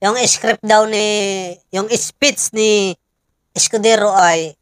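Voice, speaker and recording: A woman's voice: medium pitch, steady tone, slow, dry recording, studio quality. A woman with a Filipino accent giving casual opinion commentary, conversational and full of rhetorical questions to viewers. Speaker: political commentator and host.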